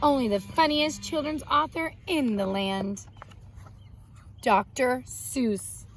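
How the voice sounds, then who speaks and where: A woman reading a picture book aloud to young children, expressive, pausing to explain words simply. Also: high voice. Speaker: children's read-aloud host and art teacher.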